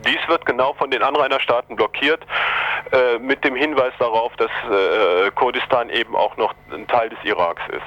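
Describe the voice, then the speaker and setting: A man speaks as an expert guest in a political radio interview. He searches for words and proceeds haltingly in a medium-pitched voice, and the lo-fi recording dulls the sound.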